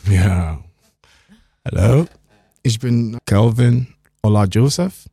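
Man, slow halting German, dark voice. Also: on the low side, husky, dry recording. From Nigeria. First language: English. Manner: halting, simple German mixed with English. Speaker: guest, fashion designer. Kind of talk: interview answers. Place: podcast studio.

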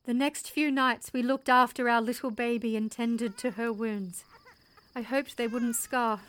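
A woman's voice: fairly high pitch, steady tone, a little slow, fairly bright tone. A woman playing a resolute young settler mother, telling her story in intense, dramatic first-person narration. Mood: subdued, resolute. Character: resolute young settler mother recounting a wild dog attack.

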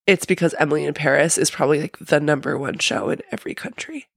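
A woman's voice: sits low, fast, gravelly, no room sound, clean studio sound. A young woman with a slight lisp and a congested, sniffly voice, co-hosting a podcast and rambling casually with lots of fillers and laughs. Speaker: podcast co-host. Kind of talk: casual recap chat.

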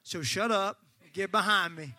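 A male pastor preaching a sermon, fervent and folksy, joking with the congregation and breaking into shouts. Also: high-pitched, a little slow, no room sound. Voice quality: slightly gravelly.

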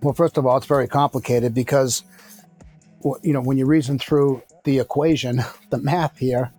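Man in his sixties, thoughtful, halting. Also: medium pitch, fairly even intonation, darkish tone, faint music behind. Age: 60s. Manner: measured, thoughtful, many fillers and restarts. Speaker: construction company founder. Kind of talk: conversational interview answers.

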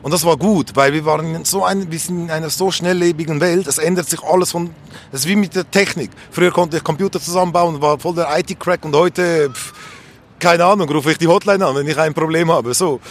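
A man's voice: fairly high pitch, fairly quick. A man with a Swiss accent reflecting casually on his own life in a candid, colloquial, rambling way. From Switzerland. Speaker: street interviewee. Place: outdoors on a street. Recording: faint outdoor background noise.

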